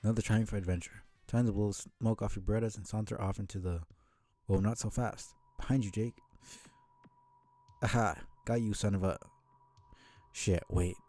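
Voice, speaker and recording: A man with a low, mellow voice reads narration aloud for a live stream. He moves between scripted lines and offhand remarks in a halting way, with some music playing behind him. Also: steady tone, no echo. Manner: halting, stumbles and rereads words. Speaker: streamer reading a webcomic aloud.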